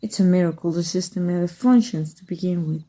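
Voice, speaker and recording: A woman playing a sly, dark-voiced former magic teacher. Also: on the low side, a little fast, natural voice. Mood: sly teasing. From Mexico. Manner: soft, half-whispered, teasing. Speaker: voice actor. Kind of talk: ASMR roleplay.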